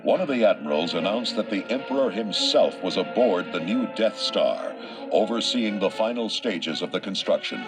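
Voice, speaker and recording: A man narrates an adventure storybook from a script. His voice is deep, and loud music runs beneath it throughout. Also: expressive, moderate pace.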